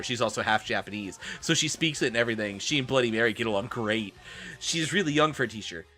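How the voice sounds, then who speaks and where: Bright-voiced theatrical man streamer. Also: mid-range voice. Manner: theatrical, joking, profane. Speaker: livestreamer and host. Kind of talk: dramatic reading of fan fiction with casual asides to chat.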